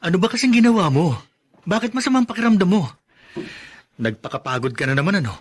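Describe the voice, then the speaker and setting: A man's voice: sits high, very animated, fast, breathy edge, heavily acted. A Filipino man playing an earnest, worried husband in a radio drama. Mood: worried, firm. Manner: earnest, concerned. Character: worried, protective young husband caught between wife and aunt.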